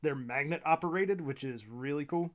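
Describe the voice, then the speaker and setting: A man's voice: fairly high pitch, moderate pace, highs cut off. A man chatting easily with friends about model kits, talkative about the details. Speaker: co-builder. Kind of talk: casual chat.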